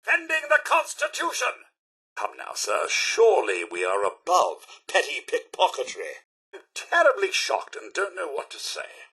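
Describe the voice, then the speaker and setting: High-pitched, prim British robot butler. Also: male voice, wildly swooping pitch, measured pace, bright, forceful tone, husky, exaggerated voice. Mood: prim, disapproving. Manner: polite, pompous, theatrical servant's phrasing. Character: prim, fussy, chipper male robot butler. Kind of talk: video game character voice lines.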